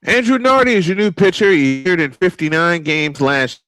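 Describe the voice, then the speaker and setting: A man calling a baseball game live, unhurried, filling the pauses between pitches. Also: fairly bright tone, no room sound, rough recording. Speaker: play-by-play baseball announcer. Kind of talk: live sports commentary.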